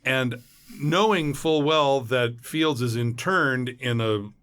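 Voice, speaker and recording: A man's voice: mid-range voice, slow, clear, resonant tone. A middle-aged man telling stories in a casual interview as a podcast guest, acting out the people he quotes. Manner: animated storyteller who acts out quotes. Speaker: podcast guest, voice actor and former impersonator. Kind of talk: anecdotal interview chat.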